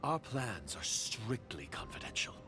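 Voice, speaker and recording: A man's voice: on the low side, sing-song, a little slow, sharp tone, faint music behind, exaggerated voice. A man voicing a suspicious, formal schemer guarding secret plans in a fantasy game, stern and wary. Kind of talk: fantasy video game voice acting.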